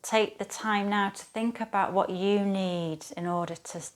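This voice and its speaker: A woman speaking as a yoga teacher, guiding a relaxation practice in a soothing, gentle, unhurried manner with pauses. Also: mid-range voice, fairly even intonation. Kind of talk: guided relaxation, yoga nidra instruction.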